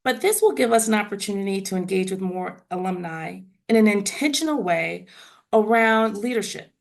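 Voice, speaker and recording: Hoarse, gravelly-voiced woman in her forties. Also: fairly high pitch. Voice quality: raspy, gravelly. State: hoarse from laryngitis. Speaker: university trustee guest. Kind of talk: formal interview answers. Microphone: video call.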